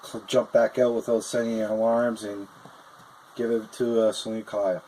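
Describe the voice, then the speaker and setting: A man's voice: lowish voice, little pitch movement, moderate pace, mellow, a little hiss or hum, poor audio. A man joining a friend's toy review, chatting casually and recalling details with many fillers. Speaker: guest, friend of the host. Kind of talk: casual chat.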